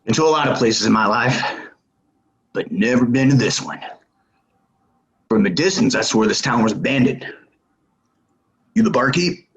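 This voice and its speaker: An actor playing a menacing, distrustful gunslinger stranger in a Western audio play, talking in a terse, probing way. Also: male voice, medium pitch, expressive, normal speed, pauses often, lightly acted, low-quality recording. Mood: gruff, weary.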